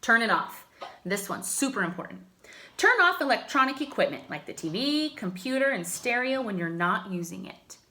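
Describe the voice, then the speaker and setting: A woman reading a children's picture book aloud like a teacher, with expressive, emphatic phrasing. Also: on the high side, normal speed. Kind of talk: children's story read-aloud.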